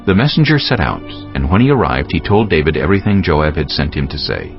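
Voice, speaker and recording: Very deep, gravelly male scripture narrator. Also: sing-song, a little fast. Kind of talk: scripted dramatized Bible narration. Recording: slightly dull audio. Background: faint music.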